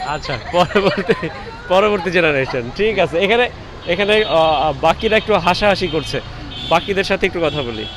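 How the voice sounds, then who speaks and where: A man with a Bangladeshi accent reporting on location outdoors in a measured, deliberate way with frequent pauses. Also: high voice, lively intonation, crowd noise. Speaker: reporter interviewing students. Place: outdoors on a university campus. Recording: noisy outdoor street with traffic.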